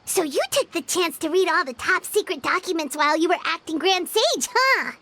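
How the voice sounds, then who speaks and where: A woman voicing a small, excitable female flying companion in a video game, with a high, squeaky, chirpy voice. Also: moderate pace, a little hiss or hum, exaggerated voice. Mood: curious. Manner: chirpy, exclamatory. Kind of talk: game voice acting.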